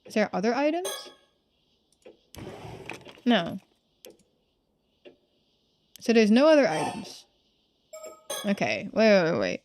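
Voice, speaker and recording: A woman's voice: mid-range voice, sing-song, takes it slowly, pauses often, darkish tone, a little hiss or hum. A woman streaming a game, giving casual commentary as she thinks aloud.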